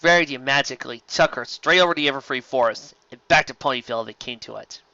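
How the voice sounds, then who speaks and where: Bright, lively fiction narrator, dry sound. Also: mid-range voice, quick pace, no echo, highs cut off. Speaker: fan fiction audiobook narrator. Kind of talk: expressive scripted storybook narration.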